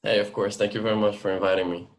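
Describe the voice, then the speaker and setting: Podcast guest: man, twenties, French accent, lowish pitch, informal and explanatory. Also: little pitch movement, racing. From France. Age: 20s. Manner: informal, explanatory, frequent "like" and "you know". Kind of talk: casual interview storytelling.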